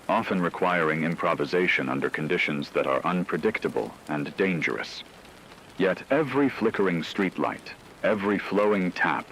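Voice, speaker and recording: A man playing a grave radio news anchor, reading scripted emergency bulletins in a measured, formal way. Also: deep voice, a little hiss or hum, rough recording.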